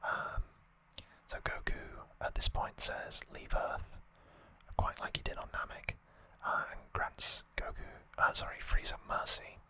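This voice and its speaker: This is an ASMR host who whispers from start to finish. The delivery is gentle and halting, at a slow pace. The whisper has a bright, sharp edge. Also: no room sound. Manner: hushed, unvoiced whispering throughout. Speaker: host of an anime trivia channel.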